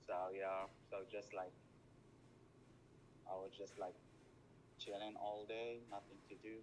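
A man's voice: lowish voice, flat delivery, measured pace, a little hiss or hum, low-quality recording. A man from Morocco chatting on a call, shy and hesitant with many fillers. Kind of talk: casual phone chat.

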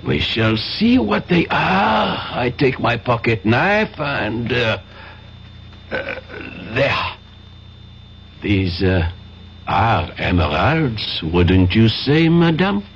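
An actor playing a courteous, methodical French customs detective with a French accent and hesitant, polite phrasing in a radio drama. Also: male voice, medium pitch, very animated, heavily acted. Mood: calm, authoritative. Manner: polite, deliberate, hesitant pauses. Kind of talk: 1970s radio drama acting. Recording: muffled 1970s radio recording, faint noise.

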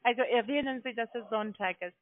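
A woman's voice: on the high side, fairly quick, no echo. A woman making an argumentative sales call over the phone. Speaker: telephone sales caller. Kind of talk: cold-call conversation. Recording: tinny narrowband phone line.

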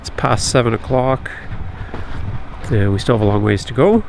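A middle-aged man from Canada chats casually to a camera held at arm's length. He sounds easygoing and talkative, and laughs easily. Clear outdoor noise from wind and water sits under his voice. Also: mid-range voice, lively intonation, moderate pace. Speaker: vlogger. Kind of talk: casual travel vlog narration. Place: outdoors, on a lake and by a roadside. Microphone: handheld camera at arm's length.

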